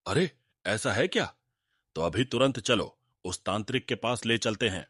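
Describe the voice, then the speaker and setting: Low-voiced greedy, fearful village moneylender acted by a man. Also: expressive, normal speed, fairly bright tone, husky, dry recording. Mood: frightened pleading. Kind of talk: Hindi cartoon character dialogue.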